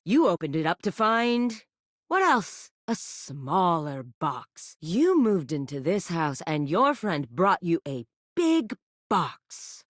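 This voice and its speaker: A brazen, flirtatious living sex toy from a dating game, played by a woman, purring each line in a low, unhurried drawl. The voice rolls up and down with lively swoops and sits close and dry, with no room around it. It teases and seduces with total self-assurance. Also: no echo. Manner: sultry, teasing, suggestive drawl. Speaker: voice actor. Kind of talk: video game character voice lines. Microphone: close studio mic.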